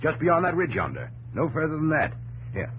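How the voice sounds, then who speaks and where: Excitable American frontier wagon master. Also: male voice, fairly high pitch, brisk. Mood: excited. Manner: excitable, folksy. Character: friendly, enthusiastic wagon master of a settler train. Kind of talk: 1950s radio drama acting. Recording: noisy, humming old radio recording.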